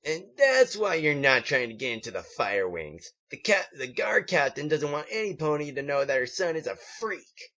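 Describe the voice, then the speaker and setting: A man's voice: a little high, big pitch swings, moderate pace, hardly any pauses, bright, forceful tone, voice pushed up, exaggerated voice. Guy reading as cocky pony bully, sneery and mocking, storybook thing, muffled audio. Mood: sneering. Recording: muffled lo-fi home recording.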